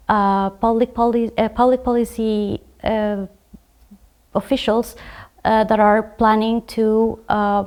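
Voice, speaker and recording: A woman from Guatemala, a graduate student, talking about her study program in a measured way, with frequent fillers and restarts. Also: a little high, a little mellow. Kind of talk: conversational program overview.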